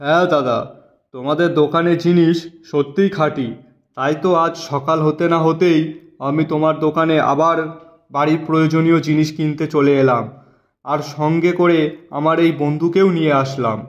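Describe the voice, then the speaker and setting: A man's voice: fairly high pitch, fairly even intonation, moderate pace, highs cut off, lightly acted. A man voicing a chatty, thrifty village customer with a familiar, emphatic manner in a moral-story cartoon. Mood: pleased.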